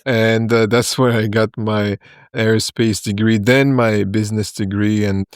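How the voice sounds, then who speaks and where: A man in his forties from Ethiopia, a consultant guest explaining his work in a podcast interview, in short measured phrases with fillers. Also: on the low side, flat delivery, clear, resonant tone, dry recording, studio quality.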